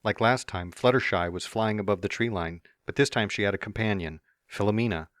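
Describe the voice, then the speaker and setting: A man narrates a storybook tale in a very low, deep voice, slipping into a cocky, teasing tone for the hero's own lines. The sound is close and dry, with no room around it. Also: brisk, a bit bright, no room sound. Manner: first-person narration with playful smug asides. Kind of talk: fan dramatic reading of a story.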